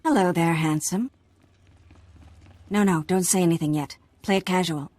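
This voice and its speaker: An actress playing a sly, teasing undercover contact with an edge of threat in a video game. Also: female voice, fairly low pitch, moderate pace, a little mellow, dry recording, lightly acted.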